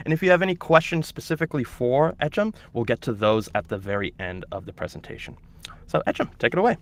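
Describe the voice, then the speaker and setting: A man's voice: medium pitch, sing-song, quick pace, a bit bright. A man hosting an online orientation webinar for teachers, explaining steps and introducing a guest, with some hesitations and restarts. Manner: instructive, hesitant with fillers and restarts. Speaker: webinar host.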